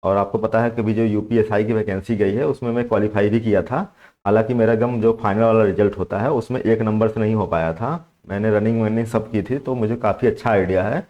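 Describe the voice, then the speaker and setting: A man's voice: on the low side, dark tone, dull audio. A young man giving informal exam coaching, explaining step by step and repeating his points with frequent check-in tags. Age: young adult. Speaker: exam coach and channel host. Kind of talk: informal instructional talk to students.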